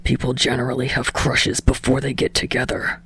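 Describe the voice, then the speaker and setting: A woman voicing a surly, foul-mouthed young male actor in a gruff, breathy mutter for a story reading. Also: extremely low pitch, monotone, moderate pace, a bit bright, no room sound, voice pushed down, exaggerated voice. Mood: seething. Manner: muttering, spitting words out through clenched teeth.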